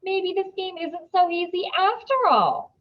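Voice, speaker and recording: A woman voicing a patient, firm female schoolteacher in a storybook, measured and encouraging. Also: very high voice, dull audio, voice pushed up. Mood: gently amused.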